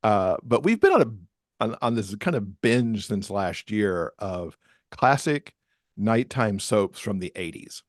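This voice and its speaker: A man with a fairly low voice talks casually as a podcast guest. He is chatty and conversational, recorded dry with very little room sound. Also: animated, takes it slowly, dry recording.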